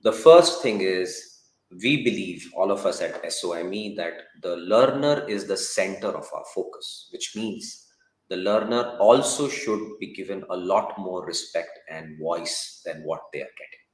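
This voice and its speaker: A man explaining his principles as a school founder on a panel, with emphatic, deliberate phrasing. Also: sits low, animated. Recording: roomy echoing sound.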